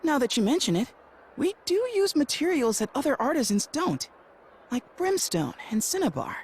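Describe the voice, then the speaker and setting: A man's voice: very high voice, very animated, moderate pace, slight background noise, exaggerated voice. An actor playing an earnest teenage boy blacksmith and protective brother in a video game, sincere and a little hesitant. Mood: surprised, thoughtful.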